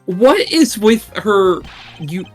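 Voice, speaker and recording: A streamer giving casual joking commentary while playing a game, wisecracking and animated. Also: mid-range voice, soft background music. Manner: wisecracking, animated, slips into quick asides.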